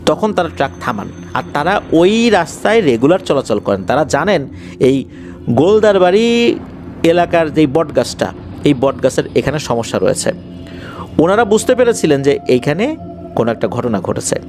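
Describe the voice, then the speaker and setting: A Bangladeshi man tells horror stories in a fairly high, lively voice that moves up and down a lot. He speaks conversationally, circling back to explain details and build suspense. Faint music plays behind him. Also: normal speed. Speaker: horror story narrator. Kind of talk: conversational spoken storytelling of paranormal incidents. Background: faint background music.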